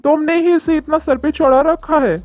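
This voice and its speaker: A woman playing a suspicious, exasperated middle-aged father in an animated story. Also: high-pitched, normal speed, pitched above the performer's own voice, lightly acted. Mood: fed up. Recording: dull lo-fi audio with music.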